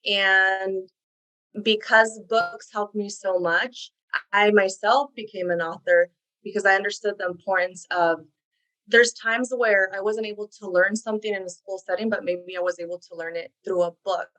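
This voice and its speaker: A woman's voice: medium pitch, fairly even intonation, moderate pace, fairly bright tone, poor audio. A woman whose first language is Spanish, a career coach guest speaking earnestly and reflectively in a podcast interview.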